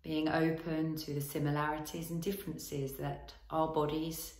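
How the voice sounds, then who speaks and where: A woman leading a guided body scan as a relaxation teacher, giving gentle instructions in short phrases with long pauses. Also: sits low, little pitch movement, roomy sound.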